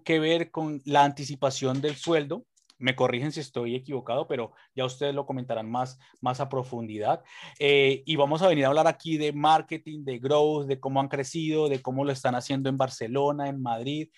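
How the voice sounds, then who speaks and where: A man's voice: mid-range voice, brisk, dry recording. A man hosts a podcast with an easygoing, teasing manner. He scatters fillers and tag questions through his talk. His mid-range voice is recorded close and dry, with no room sound. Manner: jokey, lively, lots of fillers and rhetorical tags. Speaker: podcast host. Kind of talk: casual podcast hosting.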